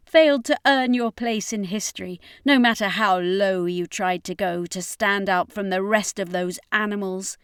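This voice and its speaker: A woman's voice: mid-range voice, moderate pace, few pauses, a bit bright, clean tone, no room sound, studio quality, close to an everyday voice. A woman voicing a defiant, scornful female character in a fantasy audiobook, emphatic and taunting.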